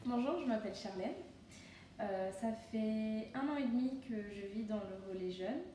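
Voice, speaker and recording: A young woman, a resident of a youth housing residence, talking relaxed with many hesitations. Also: on the high side, normal speed, faint music behind. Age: young adult. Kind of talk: testimonial interview. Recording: very echoey room, distant mic.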